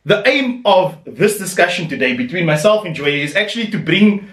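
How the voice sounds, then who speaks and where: A man's voice: sits high, animated, fairly quick, a bit bright, recorded from a distance. Enthusiastic man, echoey room. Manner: enthusiastic, filler-heavy, upbeat questions. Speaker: podcast host. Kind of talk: casual interview chat. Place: home room.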